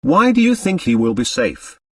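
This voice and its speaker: Man reading as an uneasy, curious Russian accomplice, expressive pitch. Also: moderate pace, dry recording, far from the mic. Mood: curious, wary. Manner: questioning, uneasy.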